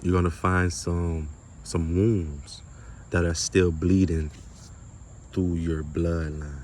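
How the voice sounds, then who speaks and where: Deep-voiced man in an informal spiritual monologue. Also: flat delivery, takes it slowly, darkish tone, slight background noise. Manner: emphatic, repetitive, rhetorical questions; casual and slangy with some swearing. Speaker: spiritual content creator and speaker.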